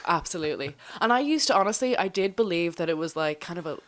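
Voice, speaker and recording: A woman's voice: fairly low pitch, expressive, fast talker, fairly bright tone. A woman chatting as co-host on a casual podcast, reacting eagerly and sharing her own experiences. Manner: enthusiastic, reacts with short exclamations.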